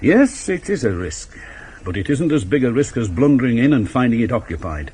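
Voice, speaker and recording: Man acting animated, dogged police inspector. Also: mid-range voice, brisk, close to an everyday voice. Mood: brooding, shrewd. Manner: emphatic, authoritative, terse. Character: dogged, shrewd male police inspector, senior officer. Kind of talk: 1980s radio drama acting. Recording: old radio broadcast, faint traffic noise.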